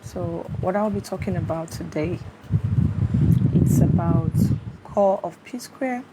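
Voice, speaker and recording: A woman's voice: fairly low pitch, slow pace. A woman hosting a casual live stream from her phone, greeting viewers by name and checking that they can hear her. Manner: greets viewers by name and keeps asking if she can be heard. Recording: noisy phone audio with a fan running. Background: fan noise.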